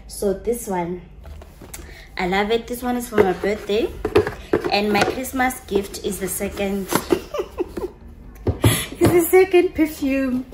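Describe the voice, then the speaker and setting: A woman's voice: on the high side, lively intonation, takes it slowly, long pauses, heavy background noise. A young woman chatting to camera, gushing about her perfumes and slipping into local phrases. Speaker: vlogger. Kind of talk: casual chat to camera, showing perfumes.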